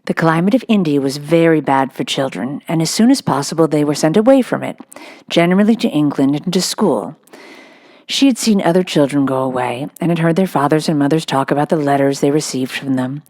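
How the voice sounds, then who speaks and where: A woman's voice: low-pitched, a little fast, darkish tone. A woman reading a children's novel aloud as narrator, in a scripted storybook style.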